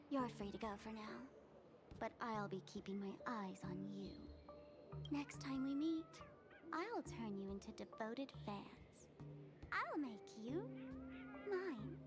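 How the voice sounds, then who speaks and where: A gleeful young female trickster from an animated game. Her high voice swoops wildly from sugary to venomous as she taunts with theatrical scorn. Loud music plays behind her. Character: gleeful, mocking young female masked trickster villain, obsessive.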